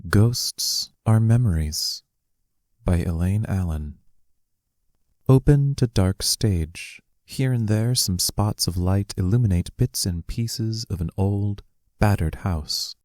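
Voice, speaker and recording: A man with a deep voice narrates an audio play's stage directions. His tone is dark and mellow, his reading measured, and the sound is dry with no room around it. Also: no room sound. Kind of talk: scripted narration of an audio play.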